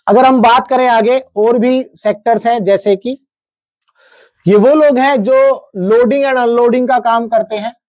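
A man's voice: extremely high pitch, steady tone, unhurried, a little mellow. An Indian man in his thirties presents an explanatory lesson in a methodical way. He reads through figures step by step and checks in with viewers, and the sound is dry, with little room echo. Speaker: presenter explaining government wage notices. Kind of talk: explanatory lecture, reading out figures and tables. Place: indoor studio, standing at a large digital screen.

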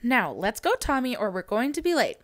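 A woman's voice: a little high, big pitch swings, fairly quick, no room sound, clean studio sound, faint music behind. A woman voicing a loving parent in a picture book, coaxing and soothing a small child. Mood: cheerful coaxing. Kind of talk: picture book character reading.

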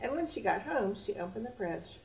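A woman's voice: fairly high pitch, big pitch swings, a little fast, darkish tone. A middle-aged American woman hosting a children's storytime, reading expressively in simple, theatrical phrases. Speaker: library storytime host. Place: library children's area, indoors. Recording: distant camera mic, faint room noise.

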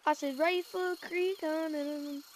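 High-voiced playful woman, noisy headset. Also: fairly even intonation, takes it slowly. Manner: chatty, sighing, playful. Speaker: VR game player. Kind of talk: playful roleplay commentary. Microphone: VR headset mic.

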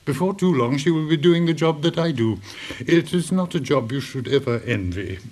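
A man's voice: fairly high pitch, expressive, normal speed, darkish tone. A man acting in a radio drama as an elderly, weary schoolmaster, speaking formally and hesitantly with dry irony. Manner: formal, hesitant, drily ironic. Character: elderly weary schoolmaster, courteous and drily ironic.

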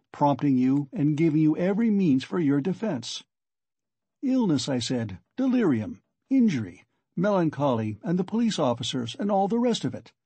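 A male narrator plays a sly examining lawyer from a novel. The voice sits fairly high, with a soft husky edge and a very dry, close sound. He is ironical and teasing, full of mocking good humor. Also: dry recording, exaggerated voice. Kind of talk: dramatic audiobook character reading.

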